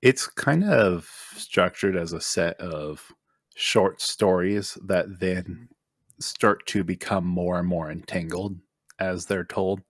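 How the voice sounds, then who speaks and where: A man on a podcast panel chatting casually, often halting as he searches for his words. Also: low voice, no echo, studio quality. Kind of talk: casual chat.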